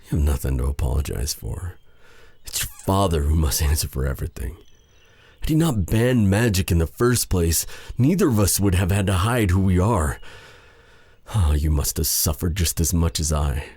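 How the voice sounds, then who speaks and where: A man playing a brooding, bitter exiled sorcerer with a tender side in an intimate ASMR roleplay drama, with a soft, halting delivery. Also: low-pitched, lively intonation, husky, no room sound, exaggerated voice. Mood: tender, consoling. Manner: halting, hesitant, sighing delivery.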